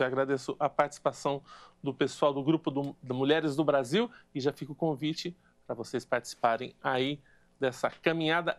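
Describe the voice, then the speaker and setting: A male news anchor leads into the next story in a steady, formal manner. His voice sits slightly higher than most men's. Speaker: TV news presenter. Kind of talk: studio news presenting.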